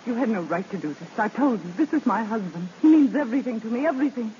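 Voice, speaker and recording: A muffled old radio play features an anguished, devoted wife played by an actress. Her high voice is emotional and melodramatic, with lively rises and falls. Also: quick pace, lightly acted. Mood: pleading, frantic. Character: anguished, loyal wife torn between two men. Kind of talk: 1930s radio drama acting. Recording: muffled, noisy old radio broadcast recording.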